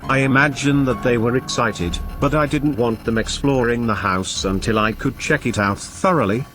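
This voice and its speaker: A man reading a scripted first-person horror story as an expressive narrator. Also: mid-range voice, moderate pace. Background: background music.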